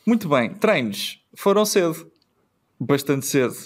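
A man's voice: on the high side, very animated, a little slow. A man with a European Portuguese accent hosting a casual podcast chat, steering the talk and laughing often. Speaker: podcast host.

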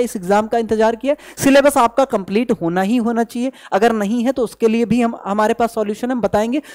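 A man's voice: high voice, a little mellow. A young man teaching an exam-strategy class, speaking emphatically, repeating his points and asking rhetorical questions. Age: young adult. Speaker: maths teacher at an exam coaching channel. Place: indoor teaching studio at a digital board.

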